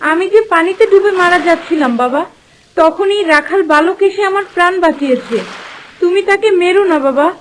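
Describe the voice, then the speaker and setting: A woman voicing a gentle, earnest, devoted young landlord's daughter in a fairy-tale cartoon. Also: very high voice, brisk, a little hiss or hum, poor audio. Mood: pleading.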